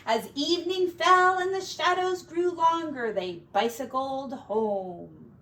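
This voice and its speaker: A woman teacher reading a picture book to young children expressively, with gentle, simple asides, recorded on a device set back from her. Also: high-pitched, slow. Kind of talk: picture-book read-aloud with chatty asides. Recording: distant mic with faint background noise.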